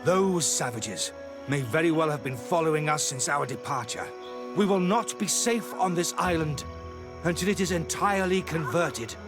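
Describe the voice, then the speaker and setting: Man playing a haughty, animated zealot priest. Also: on the high side, normal speed, natural voice. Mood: grim, wary. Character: fanatical, fearful, self-righteous male priest. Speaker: game character voice actor. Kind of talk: dramatic video game acting. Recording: background music behind the voice.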